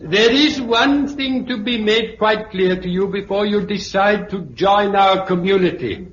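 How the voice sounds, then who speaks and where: An actor playing an elderly British sociology professor, pompous and pedantic, lecturing a meeting in a 1950s radio drama. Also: male voice, high voice. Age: older. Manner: pedantic, deliberate, oratorical. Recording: muffled, noisy, echoey 1950s radio recording.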